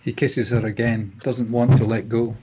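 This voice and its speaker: A man with a slightly husky voice narrating stage directions from a screenplay at a table read. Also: medium pitch, little pitch movement, moderate pace, warm tone, slight background noise, rough recording.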